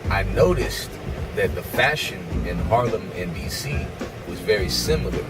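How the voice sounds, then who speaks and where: Deep-voiced man rambling over loud music. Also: normal speed. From Germany. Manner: rambling, self-correcting, excited. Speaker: interview guest. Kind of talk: casual interview talk. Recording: distant mic, loud music behind.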